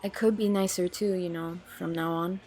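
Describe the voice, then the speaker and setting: A woman's voice: fairly low pitch, steady tone, moderate pace, warm tone, faint music behind, natural voice. An actress playing a sincere young woman, blunt but caring, in an audio drama. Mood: careful, sympathetic. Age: young adult.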